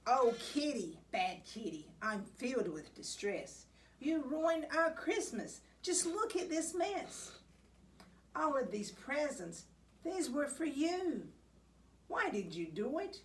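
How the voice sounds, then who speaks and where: A woman's voice: high-pitched, very animated, slow pace, recorded from a distance, a little hiss or hum, pitched above the performer's own voice, heavily acted. A woman reader voicing an exasperated storybook cat owner, scolding a naughty pet with dramatic emphasis. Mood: distressed.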